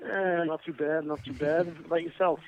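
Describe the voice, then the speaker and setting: A man's voice: on the high side, fairly even intonation, brisk, dry recording. A man with a Boston accent calling in to chat about sports in a joking, profane, mock-serious way over a muffled phone line. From Boston area, United States. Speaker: call-in sports guest. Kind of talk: casual comedic chat. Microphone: phone call. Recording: muffled voice call, radio-like.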